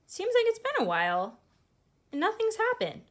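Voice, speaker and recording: A woman voicing an excitable, earnest young girl who loves space, in a dramatic picture-book read-aloud. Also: very high voice, normal speed, voice pushed up, exaggerated voice. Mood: wondering. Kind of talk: children's picture-book character voice.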